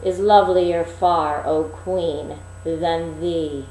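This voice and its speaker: A woman's voice: low-pitched, fairly even intonation, dark tone, echoey room, a little hiss or hum, pitched below the performer's own voice, low-quality recording. A woman voicing a solemn storybook magic mirror, reciting rhymed verse in an incantatory manner. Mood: solemn, oracular. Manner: slow, incantatory verse, emphatic then falling away. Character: solemn magic looking-glass answering in rhyme.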